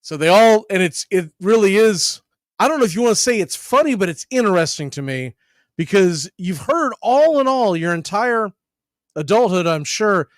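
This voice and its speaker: Dry close mic, man, high-pitched voice, emphatic sports radio host, deliberate phrasing. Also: expressive, dry recording. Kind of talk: radio promo and commentary.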